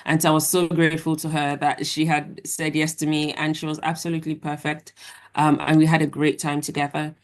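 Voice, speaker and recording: A young adult woman, a guest author, answering interview questions in a measured, thoughtful way. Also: low voice, completely flat delivery.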